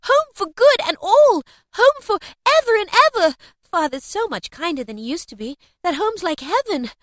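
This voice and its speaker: A woman's voice: sits high, big pitch swings, moderate pace, no room sound, studio quality, voice pushed up. A woman voicing a tiny, affectionate little girl, exclaiming with glee in a storybook reading. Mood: gleeful. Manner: breathlessly gleeful, exclaiming.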